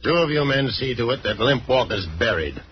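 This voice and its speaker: An actor playing a cold, commanding outlaw boss with a menacing, authoritative manner in a 1940s Western radio serial. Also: male voice, medium pitch, lively intonation, brisk, lightly acted. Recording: muffled old radio recording, faint noise.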